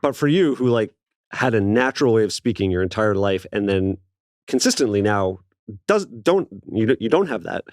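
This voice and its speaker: Low-voiced easygoing man from Canada. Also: expressive, normal speed, dry recording, studio quality. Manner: easygoing, laughs readily, curious. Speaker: podcast co-host. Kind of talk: casual interview chat.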